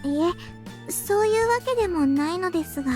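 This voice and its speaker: A woman playing a gentle, apologetic teenage shrine girl in a visual novel, speaking softly and formally. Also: very high-pitched, expressive, fast, soft background music. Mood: polite, gentle. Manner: soft, formal and courteous. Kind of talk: Japanese visual novel voice acting.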